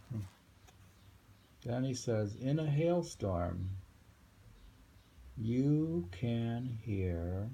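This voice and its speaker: A man reading poems aloud and commenting on them informally in a halting, musing way. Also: fairly low pitch, creaky, dark tone, a little hiss or hum. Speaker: poetry reader and commentator.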